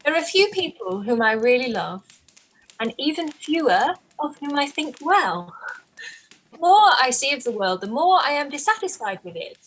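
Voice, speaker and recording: Woman as high-voiced, lively young gentlewoman. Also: moderate pace, slight background noise, poor audio. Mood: earnest. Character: earnest, opinionated young gentlewoman, affectionate sister. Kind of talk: period radio play.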